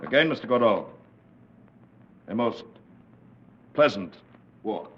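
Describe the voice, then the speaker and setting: Low-voiced, sinister club president. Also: male voice, sing-song. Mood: coldly menacing. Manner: measured, menacing courtesy. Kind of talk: 1940s radio acting. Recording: muffled, noisy old radio recording.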